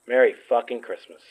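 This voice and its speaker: A man's voice: medium pitch, dull audio, lightly acted. A man acting in an audio drama as a sardonic, analytical character with a dry, skeptical delivery. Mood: sarcastic.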